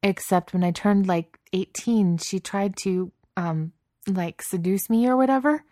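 A woman's voice: medium pitch, measured pace, warm tone, no room sound, studio quality, voice pushed up, lightly acted. A woman voicing a huge but timid, apologetic young male beast in a story, hesitant and stammering, with teenage slang. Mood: awkward, confiding. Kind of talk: audiobook character voice.

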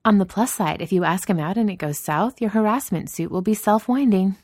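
A woman's voice: mid-range voice, a little fast, darkish tone, no echo, clean studio sound, pitched above the performer's own voice, close to an everyday voice. A woman voicing a brash senior executive woman who teases with theatrical sarcasm and mock drama. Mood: smirking.

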